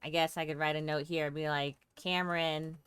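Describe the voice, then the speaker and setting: Casual woman streamer, smooth low voice. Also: flat delivery, fairly quick, fairly bright tone, no room sound. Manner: playful, chatty, thinks aloud. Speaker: game streamer. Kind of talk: casual livestream game commentary.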